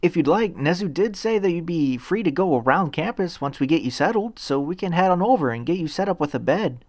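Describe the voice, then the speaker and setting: A man acts out a teenage boy hero from a fan fiction story. He is earnest and eager, with a raised pitch and a nervous, stammering politeness. The sound is dry and close. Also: lively intonation, fairly quick, darkish tone, no echo. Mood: eager, helpful. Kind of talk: fan fiction audio reading.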